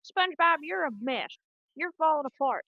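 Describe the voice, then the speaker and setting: A woman voicing a concerned, scolding female cartoon squirrel with a Texan accent and emphatic, drawn-out delivery. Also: sits high, expressive, no room sound, narrow, tinny audio, pitched above the performer's own voice.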